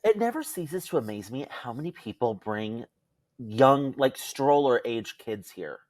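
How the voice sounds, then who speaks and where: Lower-pitched, very expressive young American woman. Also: unhurried, no echo. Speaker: podcast co-host. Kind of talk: casual chat, storytelling.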